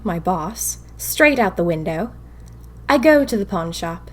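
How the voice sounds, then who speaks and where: Wry, whimsical young typist played by a woman. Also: medium pitch. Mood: wistful, wry. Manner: wry, expressive, dramatic pauses. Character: whimsical, bored young 1920s typist confiding in her diary. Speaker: narrator of a fiction podcast. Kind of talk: first-person diary audio fiction narration.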